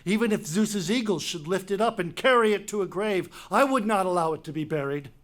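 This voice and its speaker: An actor playing a proud, stubborn king in a Greek tragedy reading, speaking emphatically with halting repeated words. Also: sits high, lively intonation, a bit bright. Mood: scornful. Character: proud, stubborn male king, defensive and scornful.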